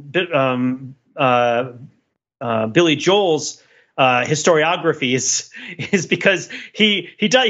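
Animated, husky man thinking aloud. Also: fairly high pitch, lo-fi sound. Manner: rambling, digressive, thinking aloud. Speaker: podcast co-host. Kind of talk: casual, opinionated cultural commentary.

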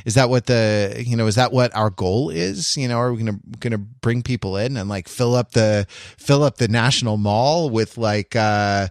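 Man on a podcast, medium pitch, halting brainy musing, really dry sound. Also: no room sound. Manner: halting, circling, intellectual musing. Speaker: podcast co-host. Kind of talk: casual discussion.

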